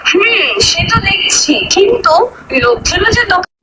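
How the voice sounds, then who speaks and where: A woman's voice: extremely high pitch, normal speed. A woman voicing a sensible village wife who advises and moralises in a cartoon story, over background noise. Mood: doubtful. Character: sensible village wife gently scolding her husband.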